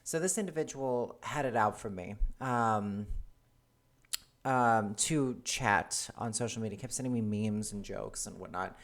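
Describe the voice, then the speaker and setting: Earnest woman in her forties, halting. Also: extremely low pitch. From South Africa. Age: 40s. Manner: hesitant, frequent ums and restarts, earnest. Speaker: vlogger. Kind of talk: casual personal monologue to camera.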